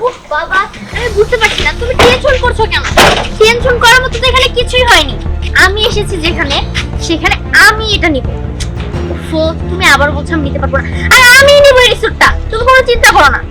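A woman plays a confident, spoiled rich businesswoman in a drama. Her voice is extremely high and quick, boastful and insistent. Loud music plays over her words. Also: lively intonation. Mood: defiant. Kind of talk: web drama acting.

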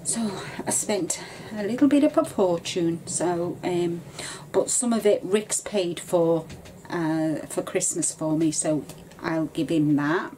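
A woman's voice: fairly low pitch, slow, mellow, slight background noise, low-quality recording. A woman, chatting casually to a desk webcam in her craft room at home, rambling with plenty of ums. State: bunged up with a cold, coughing. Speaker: craft vlogger. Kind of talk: casual chat to camera.

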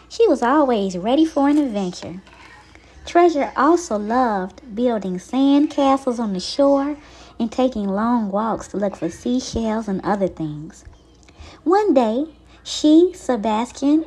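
High, swooping woman storyteller for children. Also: warm tone, husky. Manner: theatrical, drawn-out emphasis addressed to little children. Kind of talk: expressive read-aloud of a picture storybook for young children.

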